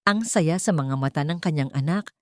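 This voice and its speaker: A woman's voice: low voice, normal speed, dry recording, clean studio sound. A woman with a Filipino accent reading a scripted dramatic story as a storyteller.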